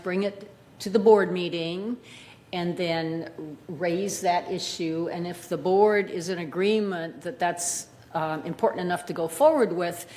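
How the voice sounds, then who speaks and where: A woman answering off the cuff as a candidate at a public meeting, speaking haltingly and searching for words. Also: on the low side. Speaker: board candidate at a community forum. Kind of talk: impromptu spoken answer at a meeting.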